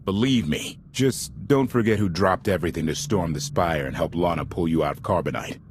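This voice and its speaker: A man's voice: low-pitched, brisk, a little hiss or hum, lightly acted. A man acting a scrappy, loyal starship pilot in a video game, informal, earnest and opinionated. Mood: wary, earnest.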